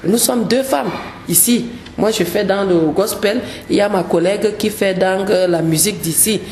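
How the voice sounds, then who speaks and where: A woman's voice: medium pitch, moderate pace, a little mellow, roomy sound, slight background noise. A woman, a gospel singer speaking earnestly as a radio guest, often repeating words as she searches for them. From Cameroon. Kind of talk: radio interview.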